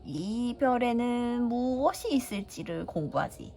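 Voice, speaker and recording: An aloof old scholar from a storybook who muses dismissively, his voice set a little higher than the reader's own, as a woman puts him on. Faint music drifts along behind. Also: expressive, a little slow, darkish tone. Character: absent-minded old male scholar, aloof.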